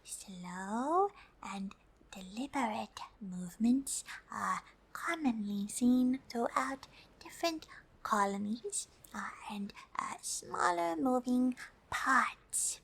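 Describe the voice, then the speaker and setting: A woman voicing a gentle, polite female alien for an ASMR roleplay, in a soft breathy whisper with slow, hesitant, stilted English. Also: a little high, expressive, heavily acted, low-quality recording. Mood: earnest, instructive. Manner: slow, deliberate, hesitant, refers to herself in third person.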